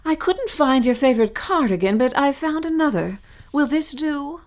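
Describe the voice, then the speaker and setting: An actress playing a scheming governess in an audio melodrama, cooing and prim with a veneer of sweetness. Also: female voice, high-pitched, animated, normal speed. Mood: sly. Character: scheming governess feigning sweetness toward her charges. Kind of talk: melodramatic audio drama acting.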